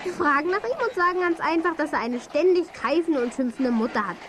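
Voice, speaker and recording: Cheeky playful kid, animated high voice, giggly, children's audio play. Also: female voice, brisk, a little hiss or hum. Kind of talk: children's audio drama acting.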